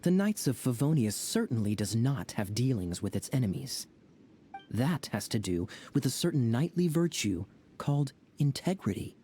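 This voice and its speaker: An actor playing a sly, teasing knight captain in a video game, with a smooth, playful manner. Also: male voice, mid-range voice, big pitch swings, normal speed, mellow, a little hiss or hum, natural voice.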